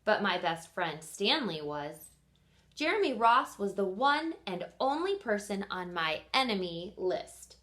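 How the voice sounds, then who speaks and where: Animated woman reading aloud to children. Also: medium pitch. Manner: animated, pauses to question young listeners. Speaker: kindergarten teacher and read-aloud host. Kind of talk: expressive storybook read-aloud for young children.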